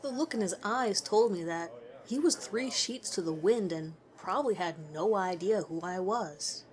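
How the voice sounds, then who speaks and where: A woman's voice: mid-range voice, measured pace, darkish tone, slight background noise. A woman reading a scripted first-person story aloud as its narrator.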